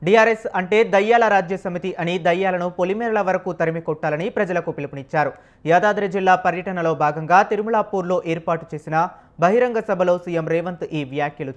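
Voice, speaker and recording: A news presenter reading a scripted political news report. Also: mid-range voice.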